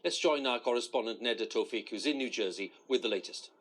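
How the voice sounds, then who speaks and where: A man's voice: mid-range voice, quick pace, fairly bright tone. A middle-aged British man reading the news formally as a studio anchor.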